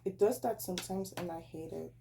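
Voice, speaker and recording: A young woman, tired and a little hoarse, chatting casually and rambling as she taste-tests snacks at home. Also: fairly low pitch, brisk, dark tone, a little hiss or hum. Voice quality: raspy. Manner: rambling, self-correcting, chatty. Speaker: snack reviewer vlogger. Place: at home, indoors.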